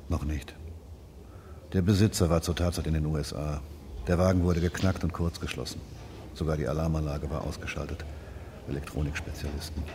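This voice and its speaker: An actor playing a dry, terse, probing police detective in naturalistic radio drama dialogue. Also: male voice, very deep voice, steady tone, brisk, mellow, slight background noise. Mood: dry, confiding.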